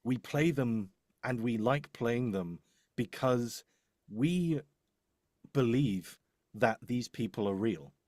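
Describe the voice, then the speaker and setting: A man's voice: mid-range voice, lively intonation, takes it slowly, pauses often, darkish tone, no room sound, studio quality. A man chatting casually and explaining his work, hesitant and rambling as he thinks aloud. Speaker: voice-over demo producer.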